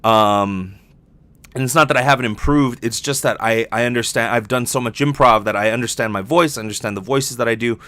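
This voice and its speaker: A man's voice: medium pitch, brisk, pushed and bright. A young adult man talking casually and candidly as a solo podcast host, rambling and swearing freely.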